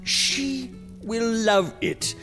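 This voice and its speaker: A man's voice: extremely high pitch, lively intonation, extremely slow, bright, forceful tone, soft background music, exaggerated voice. An actor playing a pompous, drunken, self-indulgent prince acting as king in a theatrical, haughty medieval audio drama. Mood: dismissive, grand.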